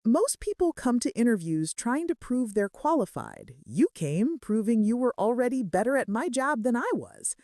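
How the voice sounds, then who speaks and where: A brooding young mafia boss, as a woman narrator plays him, confessing with earnest intensity and remorse. The pitch swings in a lively way, and the sound is dry and close, as in a romance audiobook. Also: moderate pace, few pauses, no echo. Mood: tender, confessional.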